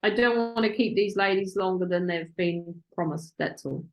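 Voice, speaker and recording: A woman leading a casual interview over a video call. She is excitable and rushes ahead when enthusiastic. Her voice sits at an ordinary pitch. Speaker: client interviewing virtual assistants.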